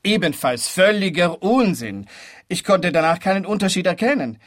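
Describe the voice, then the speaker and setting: An actor playing an exasperated, scornful husband in a radio play, complaining indignantly. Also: male voice, high-pitched, lively intonation, moderate pace. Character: exasperated, scornful husband of a beauty-obsessed woman.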